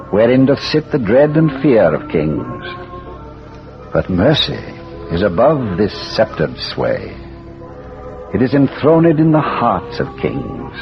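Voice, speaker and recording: Erudite schoolmaster sleuth, gently wry, expressive pitch, hesitant phrasing, muffled old radio drama. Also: male voice, full character voice. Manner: hesitant, erudite, measured, given to recitation. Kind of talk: 1940s radio acting. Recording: muffled vintage radio recording with music.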